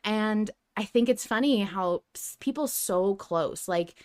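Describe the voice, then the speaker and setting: A woman's voice: medium pitch, a little slow, clean tone. A woman co-hosting a podcast in a casual, reflective fan discussion, speaking emphatically and slipping into imagined quotes. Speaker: podcast co-host.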